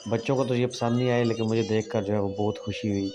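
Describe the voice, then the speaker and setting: Calm man narrating a walk. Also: on the low side, fast. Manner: calm, explanatory, reminiscing. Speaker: vlog narrator. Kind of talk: reflective voice-over narration.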